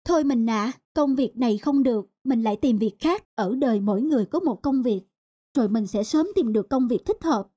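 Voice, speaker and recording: Woman playing young village wife, encouraging, mellow, high, folk tale cartoon. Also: brisk, lightly acted.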